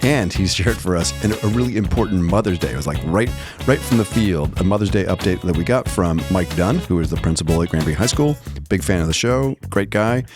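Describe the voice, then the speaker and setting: A man's voice: low voice, expressive, brisk, over music. A man co-hosting a podcast in a casual, chatty, joking way and stopping to explain asides. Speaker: podcast co-host. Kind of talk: casual two-host podcast banter.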